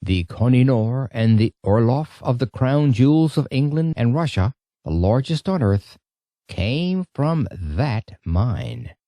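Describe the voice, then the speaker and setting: A man narrating an audiobook in a dramatic, expressive storytelling style. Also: mid-range voice, takes it slowly, no room sound, studio quality.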